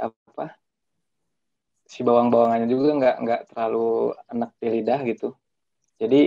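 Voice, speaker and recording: Gravelly, mellow man. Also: mid-range voice, no room sound. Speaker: food business owner, podcast guest. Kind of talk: casual chat. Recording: dull, lo-fi, dry call audio.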